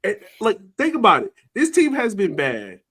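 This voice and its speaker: A man's voice: high-pitched, huge pitch swings, moderate pace, a bit bright, husky. A man co-hosting a sports podcast, talking in a loose, rambling way with easy laughs. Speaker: podcast co-host. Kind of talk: casual chat.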